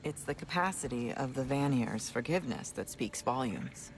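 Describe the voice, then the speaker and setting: A woman playing a proud, stern middle-aged noblewoman in a fantasy video game, speaking in a formal, firm, measured manner. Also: a bass voice, lively intonation, a little hiss or hum, poor audio. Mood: dignified, wry.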